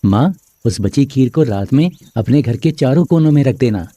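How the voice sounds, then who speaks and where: A man voicing a gentle, calm god in the form of a boy in a storybook tale. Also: medium pitch, moderate pace, no echo, close to an everyday voice. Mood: content, kindly. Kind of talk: acted storybook dialogue.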